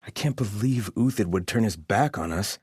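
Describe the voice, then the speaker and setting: Haughty, low-voiced soldier in exile. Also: male voice, animated, normal speed, a little mellow, breathy edge, no echo, studio quality. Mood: stern, disdainful. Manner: stern, disdainful, formal phrasing. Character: haughty, devout male Carja soldier in bitter exile. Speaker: video game voice actor. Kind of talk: video game character voice lines.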